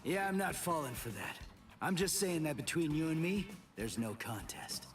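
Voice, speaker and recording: A man's voice: a little high, big pitch swings, normal speed, far from the mic. An actor playing a blunt, hot-tempered, sarcastic teenage boy hero in an English anime game dub. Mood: dismissive. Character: brash, hot-tempered teenage substitute soul reaper.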